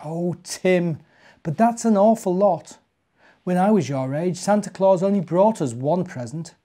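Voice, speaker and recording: A man's voice: high voice, lively intonation, unhurried, dark tone. Man reading as a kindly old British woman. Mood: disbelieving.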